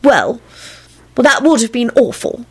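A woman voicing a stubborn, protective, accusing female character with a shrill manner in a story reading. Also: big pitch swings, a little slow, slightly rough, full character voice. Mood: pouting. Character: Elizabeth, shrill, defiant, protective young female.

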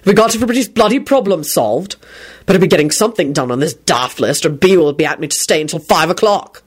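A woman voicing a gruff, impatient but generous middle-aged father in an audiobook, with a blunt, cross manner. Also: on the low side, lively intonation, fast talker.

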